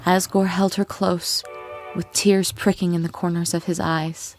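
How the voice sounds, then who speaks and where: A woman serves as narrator of a dramatic fan fiction reading. Her voice is fairly low for a woman. She reads from a script with music playing faintly underneath. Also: level tone, a little slow, a little mellow. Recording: music bed with birdsong behind.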